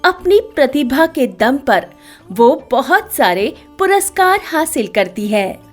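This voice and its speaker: A woman storyteller reads a scripted tale for children. Her voice is high and very expressive. She takes a slow pace over background music. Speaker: storyteller narrator.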